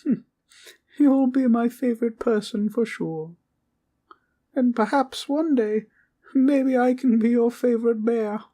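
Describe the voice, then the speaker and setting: A woman playing a sweet, innocent talking teddy bear in a comfort roleplay, speaking in a gentle, quaint, reassuring way. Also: on the high side, measured pace, long pauses. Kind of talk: roleplay comfort audio acting.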